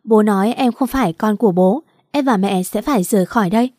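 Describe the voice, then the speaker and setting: A woman's voice: medium pitch, brisk, darkish tone, no echo, clean studio sound, natural voice. A woman voicing a young older brother, childish and blunt, in an audio story. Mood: teasing, matter-of-fact. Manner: simple childish phrasing.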